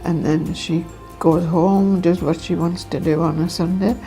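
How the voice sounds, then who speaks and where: A woman's voice: fairly low pitch, fairly even intonation, a little slow, warm tone. An older woman giving a casual account of her routine. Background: faint music.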